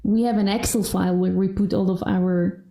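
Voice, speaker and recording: A woman's voice: mid-range voice, steady tone, moderate pace, gravelly, mellow, echoey room. A woman co-hosting a podcast, explaining things in an easygoing way. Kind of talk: casual interview.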